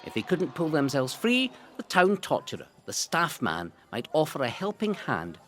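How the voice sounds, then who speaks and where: A Scottish man reading a scripted history narration in a theatrical, relishing way, with deliberate emphasis. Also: fairly high pitch, wildly swooping pitch, a bit bright. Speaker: narrator of a local history audio guide. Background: music.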